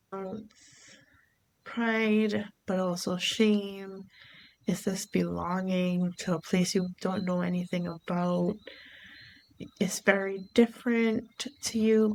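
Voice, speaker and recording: A woman from Barbados hosting her own podcast, talking through her thoughts in an unhurried, reflective way. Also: medium pitch, gravelly, a little hiss or hum, low-quality recording. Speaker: podcast host. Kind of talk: reflective solo podcast monologue.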